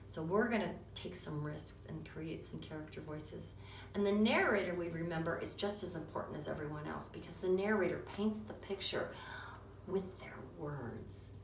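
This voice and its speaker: A woman teacher explaining reader's theatre to a class and then narrating the story with lots of expression. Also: fairly low pitch. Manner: expressive, animated, with hushed emphasis. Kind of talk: classroom instruction and storybook reading. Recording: echoey classroom, far mic, clear background noise.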